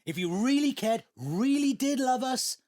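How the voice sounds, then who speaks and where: An embittered grown son in an audio drama. His delivery is emotive and confrontational, breaking into halting phrases. The pitch moves a great deal, and the recording is close and dry. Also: lively intonation, darkish tone, no room sound. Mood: angry. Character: bitter, resentful grown son with an axe to grind. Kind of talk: naturalistic audio drama acting.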